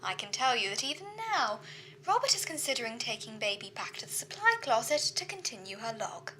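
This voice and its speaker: A woman playing a courteous, dutiful shipboard AI in a science fiction audio drama, speaking in formal, measured reports. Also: fairly high pitch, sing-song, pushed and bright. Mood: matter-of-fact. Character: female ship's computer AI, precise, dutiful, courteous. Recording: faint background noise.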